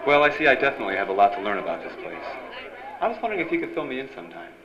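An American man playing a brash, smooth-talking young newspaper reporter in a period radio drama. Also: medium pitch, sing-song, racing, a crowd in the background, natural voice. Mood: curious, wry. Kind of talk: 1940s-style radio drama acting. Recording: dull lo-fi sound, loud music behind.

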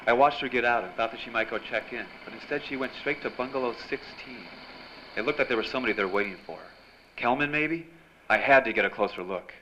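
A man's voice: mid-range voice, fast, pushed and bright, close to an everyday voice. Man acting wisecracking American noir reporter, muffled noisy recording. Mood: brooding narration. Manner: breezy, slangy, hardboiled. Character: wisecracking, persistent male newspaper reporter, noir hero. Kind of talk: old-time radio noir drama acting and narration. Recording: dull lo-fi live stage recording, clear noise.

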